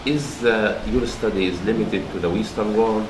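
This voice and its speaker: A man's voice: on the low side, normal speed. A man in the audience politely and hesitantly asking a question at a seminar. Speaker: audience member. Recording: echoey room with noise.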